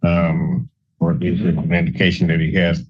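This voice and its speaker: A judge with a low male voice speaks in short, matter-of-fact courtroom rulings. The audio is dull and muffled. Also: little pitch movement, normal speed, slightly rough.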